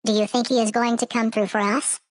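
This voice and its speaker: A woman's voice: on the high side, flat delivery, a little fast, dry recording, close to an everyday voice. A woman playing an emotional, affectionate cyborg companion in a science-fiction drama. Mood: apologetic, anxious. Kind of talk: science-fiction film dialogue.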